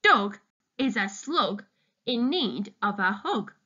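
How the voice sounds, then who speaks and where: A woman reading a children's picture book aloud expressively and deliberately, as if addressing young listeners. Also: medium pitch, expressive, pushed and bright, husky, muffled recording. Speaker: storytime reader, teacher.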